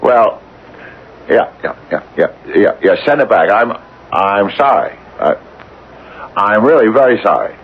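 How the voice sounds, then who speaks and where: An actor playing a nervous, mildly eccentric retired widower near sixty in a radio drama, hesitant and often repeating himself. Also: male voice, on the low side, animated, a little slow, pauses often, breathy edge. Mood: flustered, apologetic. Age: older. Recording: dull, noisy vintage radio recording.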